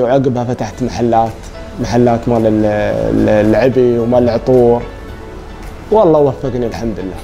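Emirati man, Gulf Arabic accent, mellow voice, fast casual answers, plain-spoken, repetitive phrasing. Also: medium pitch. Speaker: salon owner, interview guest. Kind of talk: casual unscripted interview answers.

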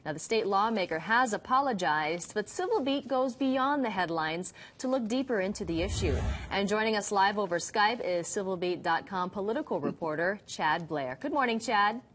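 A woman news anchor in a TV studio, leading a live morning interview with crisp, polished delivery. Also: medium pitch, expressive, normal speed, hardly any pauses, a bit bright, slight background noise. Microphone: lapel mic.

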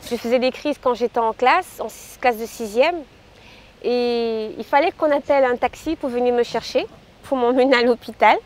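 Young woman from cameroon, kinda high voice, hesitant, lots of "euh", talking outside in a park. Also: moderate pace, a little hiss or hum. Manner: hesitant, with frequent fillers. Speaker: patient interviewee. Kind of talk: personal testimony. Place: outdoor park by a tree.

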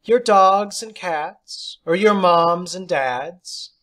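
A man's voice: high voice, extremely slow, fairly bright tone, pitched above the performer's own voice, heavily acted. A man voicing an eccentric, jolly woman substitute teacher in an audiobook story, precise, theatrical and condescending. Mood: airy, breezy.

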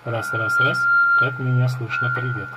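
A man's voice: mid-range voice, fairly even intonation, moderate pace, loud music behind the voice. A man giving a casual hands-on product review, improvising hesitantly and thinking aloud as he goes. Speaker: gadget reviewer. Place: indoors at a table in an apartment. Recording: clear background noise on home recording.

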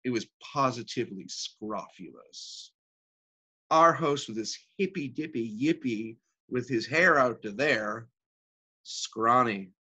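Man performing a worldly rich divorcee woman, sardonic, caustic, very animated pitch, bright tone, theatrical monologue. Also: slightly rough, full character voice. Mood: wry, reminiscing. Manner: sardonic, profane, drawn-out emphasis. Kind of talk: theatrical dramatic monologue reading.

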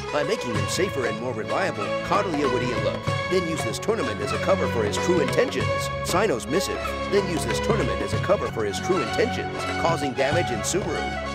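A man voicing a serious officer reading out a formal, measured report in a video game. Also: fairly high pitch. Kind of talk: scripted reading of a letter.

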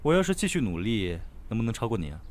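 A young man acting a cocky, teasing, hot-tempered high school boy in an audio drama, expressive and easily exasperated. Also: quick pace. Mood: cocky, eager. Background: background music.

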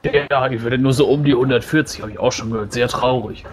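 A man's voice: medium pitch, steady tone, quick pace, noisy background, natural voice. A man roleplaying a naive, easily astonished dwarf in casual tabletop game conversation. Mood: naive, sorry.

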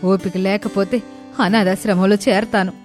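A woman's voice: mid-range voice, steady tone, moderate pace, darkish tone, faint music behind, natural voice. A woman voicing an elderly widowed mother, dignified and hurt, speaking with emotional, emphatic reproach. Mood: hurt, proud. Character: wounded, dignified elderly widowed mother rebuking her sons.

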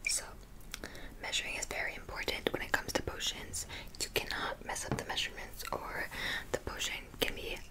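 A young woman whispering breathily in an ASMR roleplay, gently teaching as a witch in an unhurried way. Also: poor audio. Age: young adult.

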